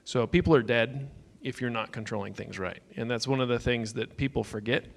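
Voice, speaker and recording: A man's voice: mid-range voice, fairly quick, creaky. A man speaking on a conference panel, explaining technical points in a matter-of-fact way. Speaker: security consultant on a panel. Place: conference stage.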